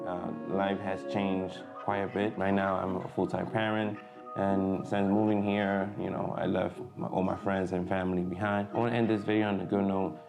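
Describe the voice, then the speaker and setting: Low, flat, gravelly-voiced man. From Dominican Republic. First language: Spanish. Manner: hesitant, many fillers and restarts. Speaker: vlogger. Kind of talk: casual vlog talk to camera.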